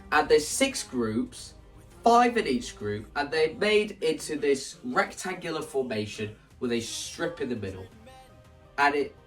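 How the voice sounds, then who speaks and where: A young man talking casually and explaining his creative ideas in an animated, theatrical way. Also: medium pitch, distant mic. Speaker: video creator presenting a stage play concept.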